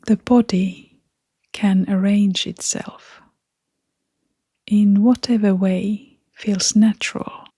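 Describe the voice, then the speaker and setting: A woman leading a guided meditation in a gentle, hushed, breathy voice, leaving long pauses between short phrases. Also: medium pitch, steady tone, no room sound, clean studio sound. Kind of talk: guided meditation, soft-spoken.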